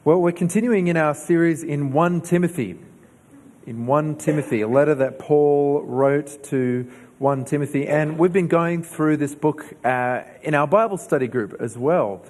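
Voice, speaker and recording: A man's voice: fairly high pitch, a little slow, a little mellow. Australian man preaching in a reverberant church. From New South Wales, Australia. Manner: conversational, asks the congregation questions. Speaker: pastor. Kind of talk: sermon. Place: church hall.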